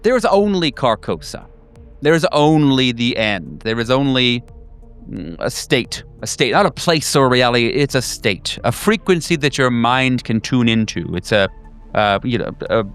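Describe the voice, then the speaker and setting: A man plays a bookish, enigmatic servant of an unearthly king in a horror roleplaying game. He speaks in a measured, philosophical way with a smooth, clear tone. Music sits behind the voice. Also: medium pitch, big pitch swings, a bit bright. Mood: philosophical, eerie. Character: cryptic, bookish male servant of a cosmic king. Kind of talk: improvised tabletop horror roleplay.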